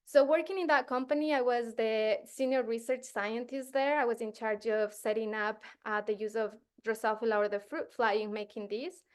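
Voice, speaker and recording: A woman's voice: a little high, steady tone, normal speed, hardly any pauses, clean tone. Ecuadorian-born woman giving a science workshop. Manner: casual, many fillers and restarts. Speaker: postdoctoral researcher presenting to teachers. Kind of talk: workshop presentation.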